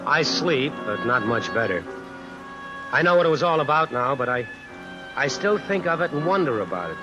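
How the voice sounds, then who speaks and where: A male actor playing a reflective, subdued man haunted by his past, in 1950s radio drama style, speaking haltingly and ruefully. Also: medium pitch, sing-song, close to an everyday voice. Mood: weary, reflective. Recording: muffled old radio recording with music.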